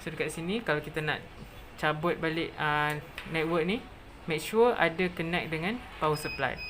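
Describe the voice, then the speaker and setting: A presenter with a Malaysian accent narrating a step-by-step software tutorial, mixing in English technical terms. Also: medium pitch, moderate pace, music in the background, poor audio.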